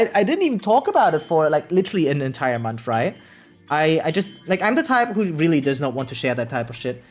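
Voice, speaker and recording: A young adult streamer talks casually with live chat in a mid-range voice over background music. The delivery rambles, doubling back on words, with loose swearing and a self-deprecating streak. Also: mid-range voice. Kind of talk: casual chat with viewers, reading out and answering comments.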